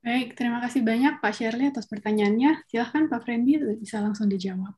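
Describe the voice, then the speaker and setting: A woman's voice: a little high, fast, darkish tone. A woman in her thirties moderating an online webinar in a polite, formal style on a video call.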